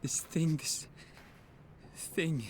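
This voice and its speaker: An actor playing a tormented, guilt-ridden soldier narrating his wartime diary in a video game, brooding, anguished and halting. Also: on the high side, fairly even intonation, a little mellow, a little hiss or hum. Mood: guilt-ridden disgust.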